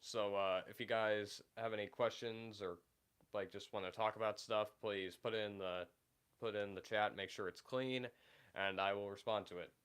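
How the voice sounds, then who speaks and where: A young man hosting a casual livestream, talking in halting bursts with many fillers and restarts, his voice often trailing off quietly. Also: steady tone, clear, resonant tone. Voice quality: deep voice. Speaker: livestream host.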